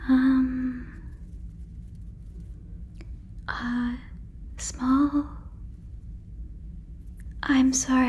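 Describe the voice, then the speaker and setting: A woman's voice: high voice, a little mellow, slight background noise. A woman voicing a friendly, naive female alien in an ASMR roleplay, whispering breathily and very close to the mic with soothing, stilted, formal phrasing. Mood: apologetic, blunt. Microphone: fluffy microphone, very close.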